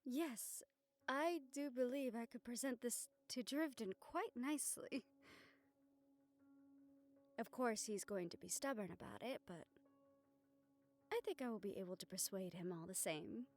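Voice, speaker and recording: A woman playing an affectionate, scheming young noblewoman in an intimate audio roleplay, speaking formally and measuredly with thoughtful pauses. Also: a little high, a little mellow, lightly acted. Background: background music.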